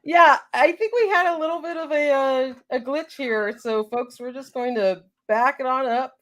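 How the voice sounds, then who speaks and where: A woman's voice: high-pitched, moderate pace, a bit bright. A woman hosting a live show in casual chat, a little congested from a cold, laughing easily as she reads out viewer names.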